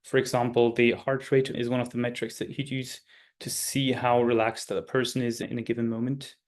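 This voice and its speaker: A man's voice: mid-range voice, level tone, a little fast. A man, a guest speaker, answering questions in a webinar and often searching for his words. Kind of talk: webinar Q&A explanation. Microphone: video call.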